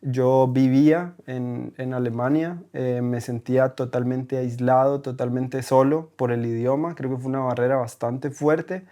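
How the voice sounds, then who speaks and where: Mellow-voiced man from Costa Rica. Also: mid-range voice, steady tone, a little slow, hardly any pauses. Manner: hesitant, many fillers and tag questions. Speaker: podcast guest, German teacher. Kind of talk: casual conversational interview. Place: recording in Berlin.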